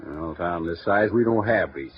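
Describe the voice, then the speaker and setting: An actor playing a bluff, gruff small-town newspaper editor who talks in a blunt, folksy way, in a 1950s radio drama. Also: male voice, low-pitched, animated, moderate pace. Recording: muffled vintage radio broadcast with faint hiss.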